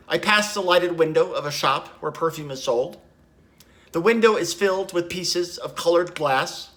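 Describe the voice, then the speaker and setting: A man's voice: a little high, fairly bright tone, pitched above the performer's own voice, lightly acted. A man playing a haunted, guilt-ridden young poet in a lyrical, reflective theatrical monologue.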